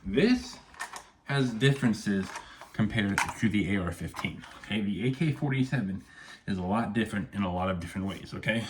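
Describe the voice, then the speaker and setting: A man with a somewhat low voice chats casually to camera from his cluttered home office room. He rambles from point to point and tacks a questioning "okay?" onto many remarks. There is no separate microphone, only the camera's own audio. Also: background noise. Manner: rambling, keeps adding "okay?" to check in. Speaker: firearms YouTuber. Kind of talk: casual vlog talk to camera. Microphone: no external mic, camera audio.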